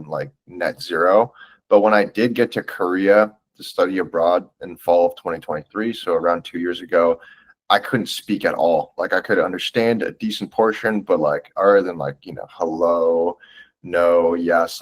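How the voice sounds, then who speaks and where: A man in his twenties from the United States talks as a podcast guest, earnest and reflective, with a loose, filler-heavy way of speaking. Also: low-pitched. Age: 20s. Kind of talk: casual interview chat.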